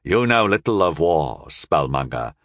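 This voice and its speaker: A man voicing a haughty rival warlord in an audiobook, quietly scornful and measured. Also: very deep voice, very animated, no room sound, studio quality, voice pushed down, full character voice. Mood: quiet menace. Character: haughty, menacing rival warlord, sneering aristocrat.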